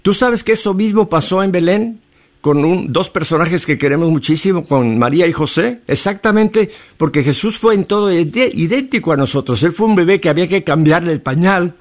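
A man's voice: sits high, expressive, brisk. A man hosting a radio interview, effusive and chatty, full of religious asides. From Mexico. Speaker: radio host.

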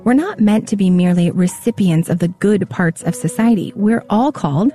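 A woman announcer reading scripted show credits and an introduction in a measured way. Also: mid-range voice, animated, warm tone, faint music behind. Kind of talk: scripted narration.

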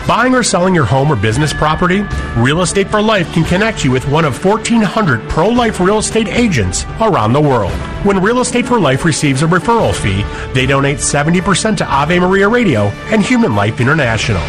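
A man reading a radio sponsor spot in a slightly high, very lively voice. His delivery is measured and promotional, and clear music plays under it. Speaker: sponsor announcer. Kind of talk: scripted radio ad read.

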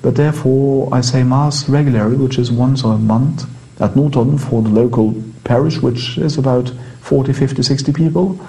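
A Norwegian priest, a man with a Norwegian accent, explains things conversationally in English, halting and rephrasing as he goes. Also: mid-range voice, creaky, mellow, low-quality recording. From Norway.

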